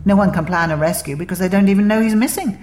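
A woman narrator voicing an eccentric, breezy male alien adventurer in a story, brisk, playful and given to lecturing. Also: medium pitch, darkish tone, reverberant, slight background noise, voice pushed up, natural voice.

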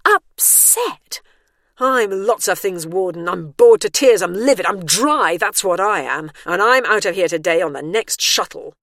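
A fiery, exasperated woman, as a woman reader puts her on, loud and sarcastic with a lively, swooping voice. The sound is close and dry. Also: lowish voice, normal speed, dry recording. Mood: indignant. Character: indignant sharp-tongued woman stuck in retirement complex. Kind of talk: audiobook character voice.

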